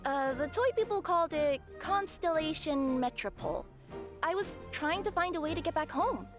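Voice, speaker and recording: A woman voicing a young, sweet, easily flustered cat-spirit girl in a video game, expressive and hesitant. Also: sits high, moderate pace, music in the background. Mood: bewildered. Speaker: game character voice. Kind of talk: animated game voice acting.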